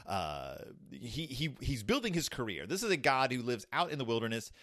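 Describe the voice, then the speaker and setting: A man retelling a Bible story in a loose, irreverent way, his pitch rising and falling with energy. He pauses and restarts often, and the recording is dry. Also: no echo. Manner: animated, irreverent, halting. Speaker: commentator.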